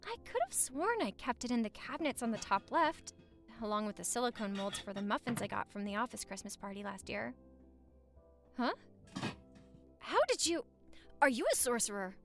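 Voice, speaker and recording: Woman acting a high-voiced, flirty newlywed wife. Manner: teasing, flirty banter with sighs and giggles. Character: playful, flustered, lovestruck newlywed wife. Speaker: audio roleplay performer. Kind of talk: romantic audio roleplay acting, intimate chat to the listener.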